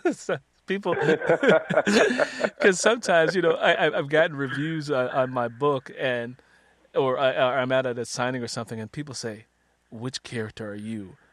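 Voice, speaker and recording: A man's voice: medium pitch, a little slow, a bit bright, dry recording. A man hosting a conversational book interview as a fellow author, speaking in an effusive, encouraging way.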